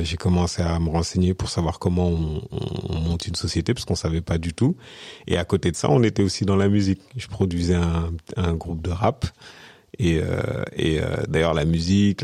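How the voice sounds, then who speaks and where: A deep-voiced man in his forties from Senegal, talking casually and hesitantly, with many pauses and restarts. His tone is dark and mellow, and the sound is dry and close. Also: dry recording. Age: 40s. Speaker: podcast guest, business consultant. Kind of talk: casual interview conversation.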